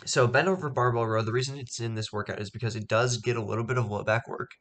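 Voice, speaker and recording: A man's voice: mid-range voice, steady tone, fast talker, fairly bright tone. A young man hosting his own fitness podcast, talking casually with lots of ums and restarts, a bit stuffed up, on a poor microphone. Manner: rambling, many ums and restarts. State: stuffed up nose. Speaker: podcast host. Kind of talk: casual solo podcast talk.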